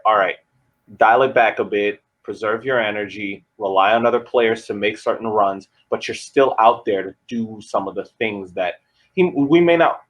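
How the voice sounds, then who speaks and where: A man co-hosting a podcast, laying out soccer analysis in measured, complete arguments. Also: lowish voice, a bit bright, muffled recording. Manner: measured, argues in full sentences. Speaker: podcast co-host.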